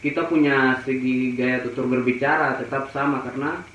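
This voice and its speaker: Mid-pitched Papuan man in heavy echo. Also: fairly even intonation, moderate pace, fairly bright tone. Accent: eastern Indonesian. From Tambrauw, Southwest Papua, Indonesia. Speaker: interview guest. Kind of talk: casual interview answers. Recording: very echoey, muffled, faint noise.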